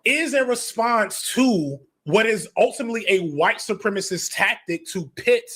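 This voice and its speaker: An American man giving opinionated, off-the-cuff commentary in an animated, emphatic, argumentative way, as a video essayist. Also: sits high, normal speed, husky. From United States.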